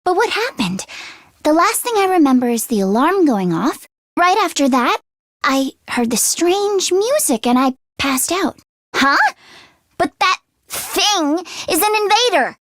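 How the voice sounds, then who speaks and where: Casting: teenage girl fighter for a video game, very high voice, anxious and earnest, expressive with hesitant stammers, dry studio sound. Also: a little slow, no room sound, heavily acted. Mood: anxious, worried, then startled, puzzled. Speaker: voice actress.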